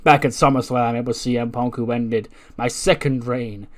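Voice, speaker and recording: A man acting out a resolute hero wrestler's promo speech, declamatory and deliberate, with dramatic pauses. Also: mid-range voice, steady tone, close to an everyday voice. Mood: solemn, resolute. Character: showman hero wrestler solemnly addressing an arena crowd.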